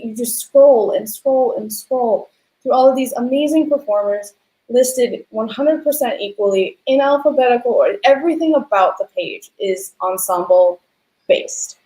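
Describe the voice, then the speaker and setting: A woman's voice: a little high, dark tone. A woman hosting a conversational panel interview, effusive in how she talks. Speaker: host, interviewer. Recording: echoey room sound.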